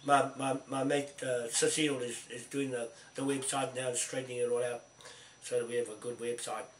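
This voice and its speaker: An older man from New Zealand with a croaky voice and a cough, chatting on a speakerphone in a confident, repetitive way. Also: mid-range voice, level tone, brisk. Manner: repetitive, confident, starts and restarts. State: hoarse, coughing. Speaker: group organiser on a phone call. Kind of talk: casual phone chat. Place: cluttered indoor room. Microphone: camera across the room, talking on speakerphone. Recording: roomy, distant, faint noise.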